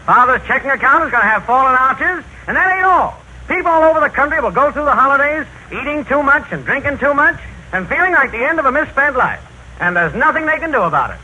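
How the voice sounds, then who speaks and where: A piercing, very high-voiced gloomy know-it-all from a 1930s radio comedy. He is slangy and cocksure, swooping emphatically as he forecasts doom, over a dull, scratchy old broadcast recording. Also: sing-song, fast talker, bright, forceful tone, full character voice.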